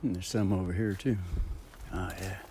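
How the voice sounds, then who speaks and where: A man's voice: deep voice, fairly even intonation, moderate pace, mellow. A man rambling casually in a home vlog, with a profane, unfiltered way of talking. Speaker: vlogger. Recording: clearly noisy ambient background.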